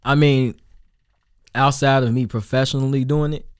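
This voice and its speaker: A young man from Chicago with a Chicago accent and a deep voice, chatting loosely as a rapper guest, with many restarts and fillers. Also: steady tone, moderate pace, clear, resonant tone, no echo, studio quality. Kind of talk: casual interview chat.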